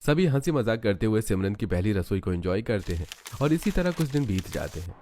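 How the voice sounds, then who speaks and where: A man's voice: lowish voice, big pitch swings, a little fast, darkish tone, slight background noise. A man reading scripted narration for a cartoon family story.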